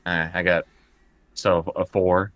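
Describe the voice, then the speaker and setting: Male tabletop player, lowish pitch, very dry sound, casual in-character chat with hesitant, repeated words. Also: little pitch movement, slow, fairly bright tone, dry recording.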